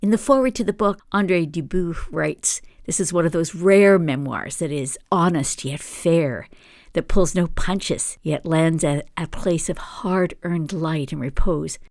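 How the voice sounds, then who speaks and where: A woman hosting a podcast interview, introducing her guest in a measured, gentle and formal way. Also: low-pitched, dry recording, studio quality. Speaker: podcast host.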